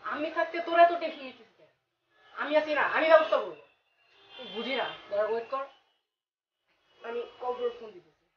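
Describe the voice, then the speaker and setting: A man's voice: very high-pitched, lively intonation, brisk, pauses often. A man with a Bangladeshi accent playing a breezy, scheming young student ringleader in a web drama. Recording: echoey, dull, distant, noisy location sound.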